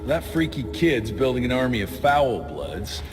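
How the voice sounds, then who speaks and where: An actor playing a gruff, short-tempered American vampire hunter in a video game, blunt, sardonic and foul-mouthed. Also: male voice, medium pitch, sing-song, unhurried, big-room sound, music in the background. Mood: wry, gruff. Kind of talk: video game cutscene acting.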